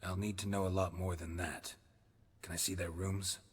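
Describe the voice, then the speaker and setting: An actor playing a terse, world-weary monster hunter in a video game, with a gravelly, breathy voice and clipped deadpan delivery. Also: male voice, low voice, normal speed, in a large hall. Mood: probing. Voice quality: very husky, gravelly near-whisper. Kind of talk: understated video game acting.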